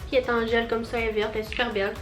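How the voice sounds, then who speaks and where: A woman's voice: a little high, little pitch movement, very fast, echoey room, soft background music. A young woman with a Quebec French accent, chatting through a casual haul vlog, bubbly and rambling with lots of fillers. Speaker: vlogger.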